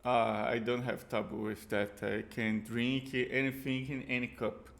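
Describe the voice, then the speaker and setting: A Brazilian man with a Brazilian Portuguese accent, a native Portuguese speaker who talks in casual reaction commentary, halting and repeating words as he searches for English. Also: medium pitch, flat delivery, gravelly. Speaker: reaction video host.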